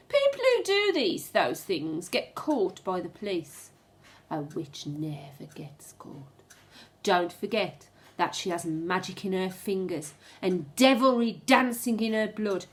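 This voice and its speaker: A woman teacher reading a storybook aloud to children in a dramatic, suspenseful way, with asides to her pupils. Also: medium pitch. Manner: expressive, suspenseful, deliberate storytelling.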